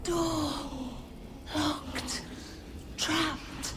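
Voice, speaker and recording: A woman voicing the spirit of a dead woman speaking through her corpse in broken, halting words. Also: high-pitched, fairly even intonation, background noise. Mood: eerie. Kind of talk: video game voice acting.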